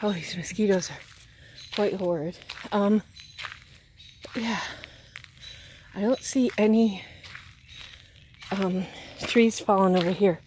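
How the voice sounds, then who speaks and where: A woman you would hear on a homemade walking vlog, rambling to her handheld camera outside, with plenty of outdoor noise around her mid-pitched voice. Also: takes it slowly, pauses often, a little mellow. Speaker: vlogger. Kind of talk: casual walking vlog chat. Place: outdoors on a wooded gravel driveway and yard. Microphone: handheld phone or camera. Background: clear outdoor ambient noise.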